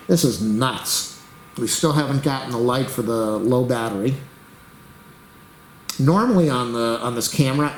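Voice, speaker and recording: A man's voice: medium pitch, darkish tone. Man, tech tutorial host, rambling, voice worn and going, echoey room, faint hiss. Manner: rambling, talks to the camera while waiting. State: losing his voice, clearing his throat. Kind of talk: rambling casual screen-recording commentary.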